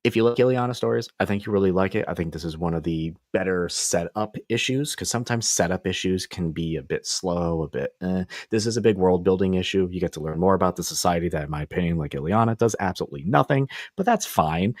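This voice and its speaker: A man's voice: low voice, fast, few pauses, a little mellow, dry recording, clean studio sound. A man hosting a casual comic book review show, rambling and joking as he recaps issues. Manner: laid-back, rambling, joking. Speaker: comic book podcast host.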